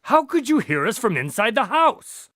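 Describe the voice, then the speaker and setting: An actor playing an indignant, excitable detective's partner who tells stories in a radio drama. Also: male voice, very high-pitched, normal speed, no room sound, studio quality, heavily acted. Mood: defiant.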